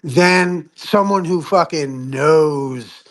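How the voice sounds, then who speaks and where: A man's voice: a little high, very slow. A man debating casually on an online call, rambling, swearing and poking fun at himself. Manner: rambling, profane, self-deprecating, laughs often. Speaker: flat-earth debater. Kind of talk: heated online debate chat.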